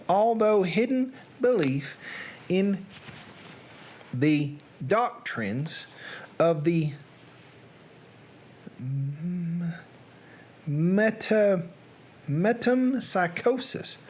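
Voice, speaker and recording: A performer putting on an American Southern accent as the narrator of an old gothic horror tale, reading dramatically and halting on long words. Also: medium pitch, heavily acted. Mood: solemn.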